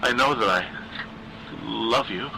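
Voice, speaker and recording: A man's voice: medium pitch, slow pace, vocal fry, sharp tone, slight background noise, exaggerated voice, poor audio. A man voicing a shy, lovesick, eerie teenage boy in a horror story, soothing yet insistent.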